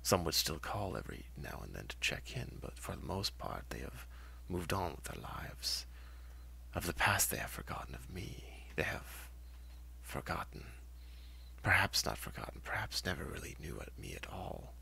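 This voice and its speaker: A man reads horror fiction in a very low voice, heavily raspy and breathy. His delivery is theatrical and brooding, with halting phrasing and pitch that swings widely. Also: very animated, bright, forceful tone. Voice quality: husky, gravelly, breathy whisper. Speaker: horror story writer and narrator. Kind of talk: dramatic first-person horror narration.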